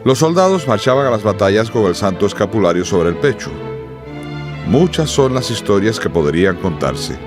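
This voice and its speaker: A man's voice: lowish voice, big pitch swings, moderate pace, over music. A man narrating a radio drama from a script in a formal, dramatic storytelling manner.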